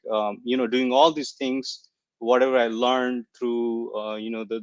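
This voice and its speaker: A young man from Nepal, a guest talking casually in an online interview, searching for words and filling pauses as he goes. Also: medium pitch, dry recording, highs cut off. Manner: hesitant, searching for words, many fillers. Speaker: coaching client guest.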